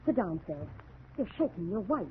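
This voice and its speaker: A woman's voice: medium pitch, big pitch swings, normal speed, narrow, tinny audio, natural voice. An actress playing a concerned, reproachful wife who stands her ground in an old-time radio drama, earnest and dramatic, on a thin, noisy vintage recording.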